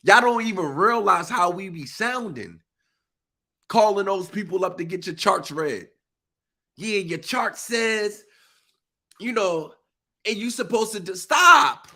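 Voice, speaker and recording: A man's voice: high-pitched, animated, slow, long pauses, bright, forceful tone. A man on a live stream, stuffy and congested, preaching emphatically in informal speech. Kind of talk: impassioned live monologue.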